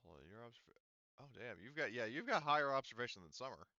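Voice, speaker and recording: A man's voice: mid-range voice, big pitch swings, quick pace, sharp tone, no echo, muffled recording. A man running a tabletop roleplaying game as game master, explaining things casually and somewhat hesitantly.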